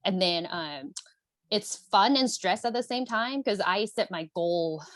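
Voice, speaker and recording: A woman's voice: mid-range voice, animated, measured pace, fairly bright tone, no room sound. A woman, chatting earnestly as an interview guest, with lots of fillers and repeated words. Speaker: guest, MBA alumna. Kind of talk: casual interview chat.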